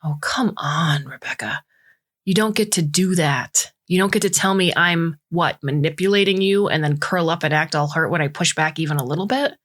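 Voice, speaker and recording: A woman's voice: low-pitched, normal speed, a bit bright. A defensive boyfriend argues his side in plain colloquial speech as a woman narrator plays him. He is exasperated and argumentative, in the manner of a dramatized audio story. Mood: indignant.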